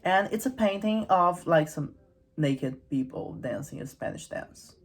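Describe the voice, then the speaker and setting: Low-pitched woman, wryly irreverent. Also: expressive, unhurried, a little hiss or hum. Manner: irreverent, wry, conversational asides. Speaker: host of a literary channel. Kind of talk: casual humorous commentary on literature.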